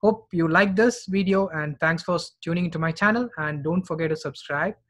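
A man's voice: fairly high pitch, fairly quick, fairly bright tone. A middle-aged man giving informal investment commentary as a finance vlogger.

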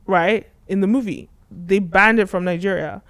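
High-pitched guy talking fast and animated on a podcast, dry close mic. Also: no echo. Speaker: podcast host. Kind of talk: casual discussion. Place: studio lounge with red curtains and leather armchairs. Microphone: studio mic on boom arm.

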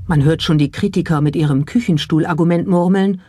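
A narrator reading a scripted documentary in a measured storytelling style. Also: mid-range voice, warm tone.

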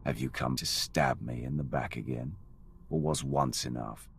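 An actor playing an arrogant, cold Sith warrior commander in a video game, with an imperious, threatening delivery. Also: male voice, wildly swooping pitch, normal speed, a little hiss or hum, exaggerated voice. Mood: cold threat. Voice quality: deep, gravelly. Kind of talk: video game cutscene acting.

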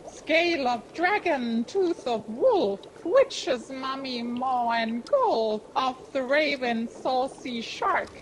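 An old witch in a radio play recites her spell one ingredient at a time, as a woman acts her. Her voice is a little high and unhurried, over a faint hiss. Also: expressive, rough recording. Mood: chanting. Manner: measured listing recitation.

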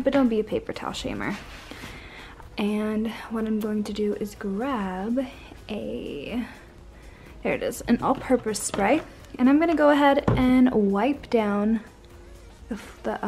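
A woman's voice: on the high side, a little slow, a little mellow. A young woman in a casual vlog voice-over, chatty and upbeat, talking viewers through her cleaning routine step by step. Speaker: home vlogger.

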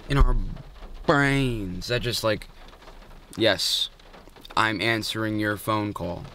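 A young man answering quirky questions in a casual, joking way, filming himself with a phone in a parked car. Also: mid-range voice. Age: young adult. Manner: playful, joking, quick asides. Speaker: vlogger. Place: inside a parked car at night. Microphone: phone held at arm's length.

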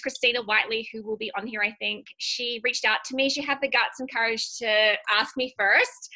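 A woman's voice: fairly high pitch, bright, forceful tone, low-quality recording. An Australian-accented woman in her thirties giving a casual motivational talk as a guest on a video call. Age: 30s. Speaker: guest speaker, direct-sales distributor. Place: small home office, indoors. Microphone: laptop on a video call.

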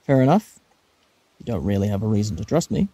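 A man's voice: on the low side, big pitch swings, fairly quick, warm tone, dry recording. A man playing an eccentric, persistent time traveller in a fan audio drama, flippant yet earnest and reassuring.

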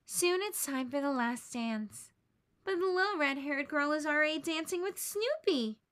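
A woman with a high voice reads a children's picture book aloud. She narrates gently and expressively, like a teacher. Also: animated, normal speed. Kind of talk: read-aloud storybook narration.

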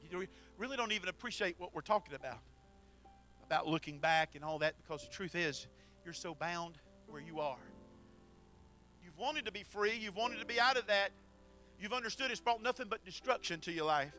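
A middle-aged man preaching a sermon into a handheld mic in a church, swinging from shouted exhortation to quiet storytelling. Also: high-pitched, expressive, a little fast, soft background music. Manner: preaches while pacing the platform. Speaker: pastor. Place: church sanctuary.

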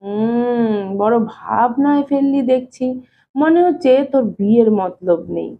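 Woman voicing nosy older family man, high pitch, coaxing, familiar, storybook reading, tinny phone-like audio. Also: lively intonation, measured pace. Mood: concerned, coaxing. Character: nosy, concerned older brother-in-law, a male family elder. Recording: thin phone-like narrow-band sound.

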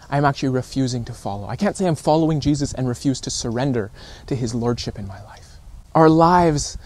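A man in his thirties giving an informal sermon in an earnest, deliberate way, with emphatic repetition and pauses. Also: medium pitch, darkish tone. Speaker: preacher. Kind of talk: informal recorded sermon to camera. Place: outdoors on a rocky shore by calm water.

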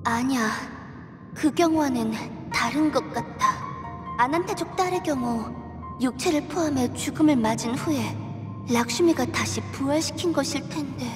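An actress as a small, gentle young sorceress in a fantasy game. Her voice is high and earnest, carried in a long echo with music clearly underneath. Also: moderate pace, lightly acted. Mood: troubled. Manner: earnest, polite. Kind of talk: video game cutscene acting. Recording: echoey hall reverb, music underneath.

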